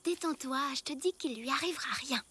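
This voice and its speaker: Woman as a squeaky, headstrong little cartoon girl. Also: a little fast, heavy background noise, lightly acted. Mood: self-assured. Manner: insistent, exclamatory. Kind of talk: animated cartoon voice acting in French.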